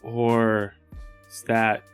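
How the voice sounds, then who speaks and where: A man's voice: fairly low pitch, completely flat delivery, very slow, clean tone, faint music behind. A man playing a tabletop game, talking through ideas in a casual, conversational way. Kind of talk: casual strategizing chat.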